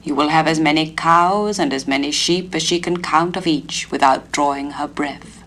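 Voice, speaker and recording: A tall, splendid old man of the lake, as a woman storyteller voices him speaks with solemn weight. His warnings press harder on the key words, in a lowish, measured voice. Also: a bit bright. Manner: solemn, rising to urgent warnings.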